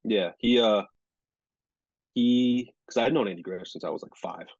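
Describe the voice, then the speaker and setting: Young man, low voice, monotone, filler-heavy, dull lo-fi audio. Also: moderate pace, creaky, dry recording. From Rhode Island. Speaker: sports reporter and anchor, podcast guest. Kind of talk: casual interview chat.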